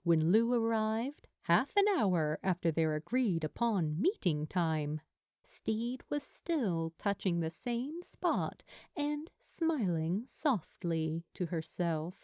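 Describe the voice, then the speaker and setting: A woman narrates fiction at a slow, deliberate pace. Her pitch moves expressively through each sentence, and the recording is very dry with no room sound. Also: very animated, darkish tone, no echo. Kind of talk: scripted story narration.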